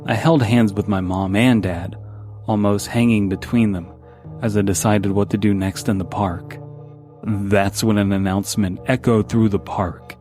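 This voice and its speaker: A man's voice: fairly low pitch, fairly even intonation, measured pace, a little mellow. A man reading a scripted first-person horror story as its narrator. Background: background music.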